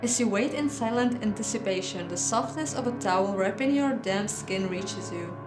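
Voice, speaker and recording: A woman with a mid-range voice reads a visual novel aloud as host of a playthrough, mixing measured dramatic narration with casual commentary. The room gives her voice a clear echo, and music plays behind her. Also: medium pitch, expressive.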